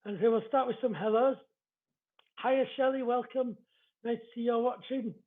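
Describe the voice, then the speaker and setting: A male livestream host with a distinctly high-pitched voice and an East Yorkshire accent. He talks in a welcoming, chatty, polite way, as if greeting viewers one by one. The audio is thin and lo-fi, with a narrow phone-like band. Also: fairly even intonation, normal speed. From East Yorkshire, England. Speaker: spiritual medium hosting a live show.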